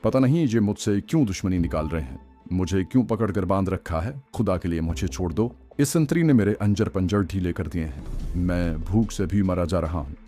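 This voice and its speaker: A man's voice: low voice, animated, normal speed, warm tone. A man voicing a tormented captive in an audio story, tearful, helpless and begging for mercy. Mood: tearful pleading. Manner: tearful, helpless, whimpering delivery. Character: pleading tormented male captive feigning innocence. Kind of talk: audiobook character acting. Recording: faint music bed under voice.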